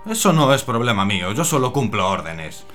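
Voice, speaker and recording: A man's voice: mid-range voice, very animated, a little fast, a bit bright, soft background music. An actor playing a stern male police officer giving curt commands in a radio drama.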